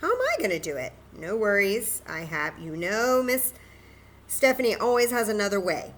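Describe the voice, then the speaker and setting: A woman's voice: medium pitch, sing-song, moderate pace, a bit bright, a little hiss or hum. A woman hosting a children's story time, patiently and encouragingly demonstrating a craft step by step in simple, casual words.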